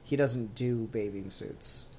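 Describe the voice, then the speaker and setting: A man co-hosting a casual discussion, animated and sweary, prone to acting out bits of dialogue. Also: medium pitch, slow pace, crowd noise. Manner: animated, profane, acts out quoted dialogue. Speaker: podcast co-host discussing comics. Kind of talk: casual chat.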